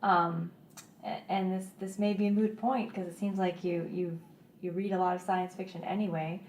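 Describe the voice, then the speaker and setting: A woman's voice: fairly low pitch, flat delivery, normal speed, darkish tone, echoey room, a little hiss or hum. A woman hosting a radio interview, introducing and questioning her guest. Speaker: interview host. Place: radio studio.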